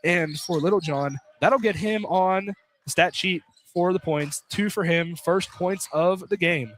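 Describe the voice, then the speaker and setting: Male basketball announcer, conversational play-by-play, pitched a bit high, background noise on the broadcast. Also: measured pace, a bit bright. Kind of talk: live college basketball play-by-play commentary. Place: courtside at a college basketball game. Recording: clearly noisy live radio broadcast.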